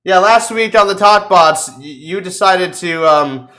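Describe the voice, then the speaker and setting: A man's voice: high voice, unhurried, bright, forceful tone. A man hosting a podcast, chatting casually about video games in slangy, sweary bro talk. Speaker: podcast host.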